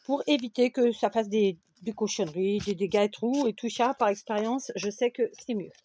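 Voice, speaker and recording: A woman's voice: medium pitch, moderate pace, dark tone, a little hiss or hum. A French woman hosting a casual craft tutorial, chatting and often checking in with her viewers with "d'accord?". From France. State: runny nose. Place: home craft table with a fan running.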